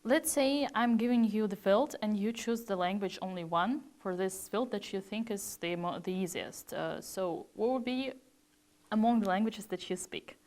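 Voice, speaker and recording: A woman interviewer posing brisk, quick-fire questions to her guest. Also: medium pitch, darkish tone.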